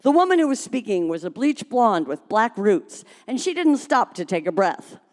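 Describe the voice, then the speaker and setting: A woman performs a humorous story for a live reading. Her delivery is expressive and dramatic, swinging widely in pitch around a middle register. The hall adds long reverb. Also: moderate pace. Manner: expressive, acts out dialogue.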